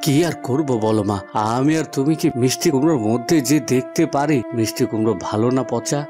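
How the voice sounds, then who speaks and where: A man's voice: medium pitch, fairly quick, over music, natural voice. A man voicing a humble, good-natured young village son, earnest and obliging, in a cartoon fairy tale. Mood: resigned.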